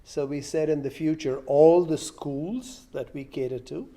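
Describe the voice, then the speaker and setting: A man's voice: on the high side, steady tone, unhurried, dark tone. A man explaining a plan informally to a group. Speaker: school caterer.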